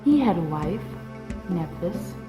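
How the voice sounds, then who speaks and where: A woman's voice: on the low side, very animated, takes it slowly, reverberant, music in the background. A woman playing a stern, insistent, bitter mother in an audio drama. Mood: solemn storytelling. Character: commanding, bitter mother, scholarly and accusing.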